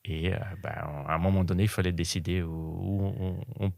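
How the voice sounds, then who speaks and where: Deep-voiced man, halting speech. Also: steady tone, vocal fry, a little mellow, dry recording, clean studio sound. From Brazil. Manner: halting, repeats words while searching for them. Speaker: podcast guest. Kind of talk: casual interview conversation.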